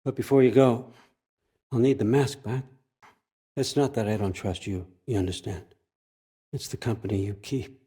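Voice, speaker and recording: A man's voice: mid-range voice, fairly even intonation, moderate pace, long pauses, warm tone, slightly rough, lightly acted. An older American man acting in a video game as a scheming, controlling old father god, wry, folksy and conversational as he manipulates. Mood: quiet, controlled.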